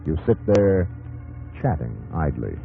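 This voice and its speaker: A solemn male narrator from a 1950s radio drama, speaking in measured, dramatic phrases straight to his hero. The sound is tinny and narrow, with music clearly behind it. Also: very deep voice, sing-song, full character voice. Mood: solemn, reflective.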